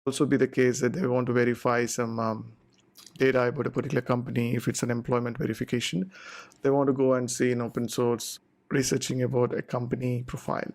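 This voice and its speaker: Man explaining in creaky-edged voice. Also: medium pitch, little pitch movement, fast talker, a little mellow. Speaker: presenter explaining visa procedures. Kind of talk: informal explainer talk to viewers.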